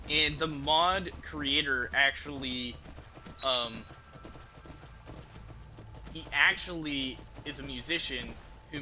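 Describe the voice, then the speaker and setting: Bright-voiced man streaming a game. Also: a little high, a little hiss or hum, poor audio. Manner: casual, sweary. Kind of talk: casual live gameplay commentary.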